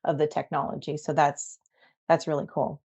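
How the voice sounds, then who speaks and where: A woman's voice: low-pitched, little pitch movement, moderate pace, darkish tone, husky. A woman hosting a podcast, reading out a guest introduction and then chatting casually. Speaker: podcast host.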